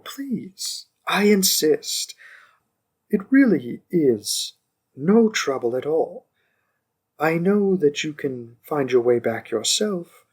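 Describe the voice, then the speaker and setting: A man playing a smug, possessive young mafia boss in an audio roleplay, speaking deliberately with condescending politeness and calm menace. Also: on the high side, husky, pitched above the performer's own voice, full character voice. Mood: insistent, polite. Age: young adult. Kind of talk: scripted audio roleplay addressed to one listener.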